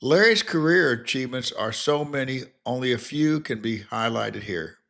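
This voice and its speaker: A man's voice: medium pitch, lively intonation, moderate pace, vocal fry, fairly bright tone. An older man narrating a scripted biographical documentary in a formal, careful reading manner.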